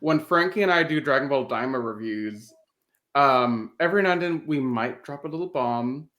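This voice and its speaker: A man's voice: mid-range voice, moderate pace, fairly bright tone. A man chatting casually as the host of a podcast.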